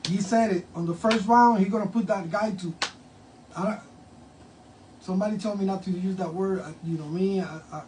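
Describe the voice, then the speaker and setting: A man's voice: high-pitched, steady tone, moderate pace, a little mellow. A talkative older man with a grandson, hosting a casual promo interview and hyping a fight. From Puerto Rico. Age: has a grandson. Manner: hyped, talkative, switches into Spanish. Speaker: host. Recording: distant mic with faint ambient noise.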